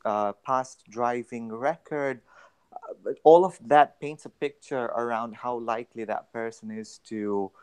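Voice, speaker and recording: Young guy from tanzania, smooth clear voice, slow careful explaining, lots of uhs. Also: medium pitch. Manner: measured, explanatory, many "uh" fillers. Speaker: guest, actuary. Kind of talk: radio interview answers.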